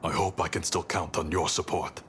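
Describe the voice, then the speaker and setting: A man playing a stern, resolute samurai commander in a video game cutscene, speaking in a measured, commanding way. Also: low-pitched, flat delivery, a bit bright. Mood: earnest, determined. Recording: clear background noise, ambience.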